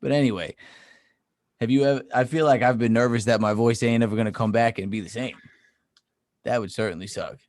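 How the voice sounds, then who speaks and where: A man's voice: medium pitch, steady tone, a little fast, long pauses, a little mellow, no echo. A man co-hosting a podcast in casual chat, easygoing and teasing in his banter. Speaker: podcast co-host.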